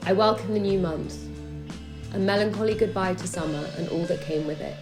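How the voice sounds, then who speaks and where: Young woman reading a reflective voice-over. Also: fairly low pitch. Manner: calm, reflective, measured. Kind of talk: scripted poetic voice-over narration. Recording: roomy echo, faint music.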